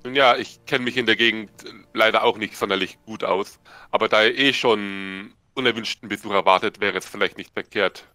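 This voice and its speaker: A man playing a courteous hired adventurer in a tabletop game, speaking in a measured, formal, hesitant way. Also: fairly low pitch, fairly even intonation, bright, forceful tone, no echo, faint music behind.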